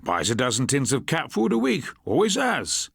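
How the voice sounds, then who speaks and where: A man's voice: mid-range voice, huge pitch swings, normal speed, vocal fry, fairly bright tone, breathy edge, no room sound, studio quality, pitched above the performer's own voice, heavily acted. A man voicing a chatty, emphatic male corner shopkeeper in an audiobook story. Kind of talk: acted audiobook dialogue.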